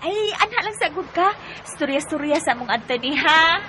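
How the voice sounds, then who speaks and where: A woman's voice: sits high, animated, normal speed, pushed and bright, rough recording. A woman with a Cebuano accent playing a chatty, teasing co-worker and matchmaking friend in a radio drama. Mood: playful, excited. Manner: gossipy, coaxing. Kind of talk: Bisaya radio drama acting.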